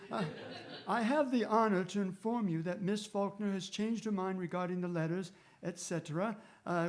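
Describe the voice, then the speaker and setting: An actor playing a loyal gentleman companion in a radio drama, genial and earnest in his storytelling. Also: high voice, natural voice. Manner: reminiscing, earnest.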